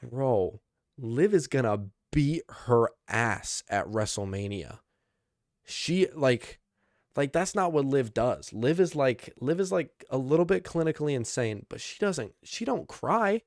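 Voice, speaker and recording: A young man hosting a podcast, recapping wrestling in casual chat, rambling and excitable, with lots of restarts. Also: medium pitch, a little slow, no echo, studio quality. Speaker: podcast host.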